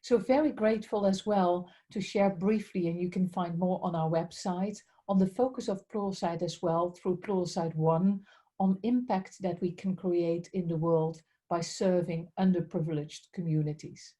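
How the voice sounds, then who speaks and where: Dutch-accented woman, warm and mellow. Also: lowish voice, fairly even intonation, normal speed. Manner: polite, repeatedly says she is grateful. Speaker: head of strategic accounts. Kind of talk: webinar presentation. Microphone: video call.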